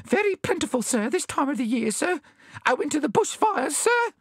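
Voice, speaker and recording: A man voicing a small, terrified bandicoot in a storybook, shrill and quavering, with stammering, servile repetition. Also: big pitch swings, normal speed, fairly bright tone, no echo, studio quality, exaggerated voice. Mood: nervous, servile.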